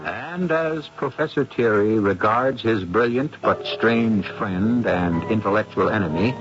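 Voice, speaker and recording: A man hosting and narrating an old-time radio mystery in a measured, formal, portentous way. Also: fairly low pitch. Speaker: host and narrator. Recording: dull old radio recording.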